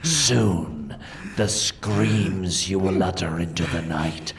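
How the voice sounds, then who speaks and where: A man's voice: low voice, slow pace, gravelly, a crowd in the background, exaggerated voice. A man playing the Red Death, a merciless masked spirit of plague, speaking in solemn, ominous pronouncements in a gothic audio drama. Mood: cruel, gloating. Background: dramatic music.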